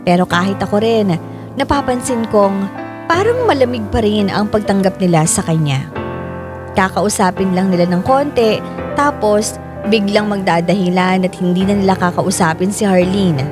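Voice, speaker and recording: A woman's voice: medium pitch, animated, normal speed. A woman with a Filipino accent narrating a dramatized first-person story. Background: music.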